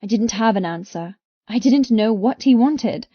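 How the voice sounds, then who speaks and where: A woman reading first-person romance fiction as an audiobook narrator, with intense, expressive delivery. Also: a little high, measured pace, no echo, highs cut off.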